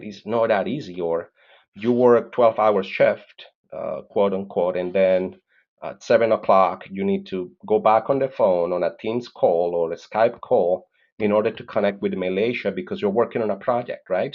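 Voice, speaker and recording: A man's voice: on the low side, lo-fi sound. A man from Colombia with a Colombian Spanish accent, telling his story as an interview guest in an earnest way, often repeating words and checking in with "right?".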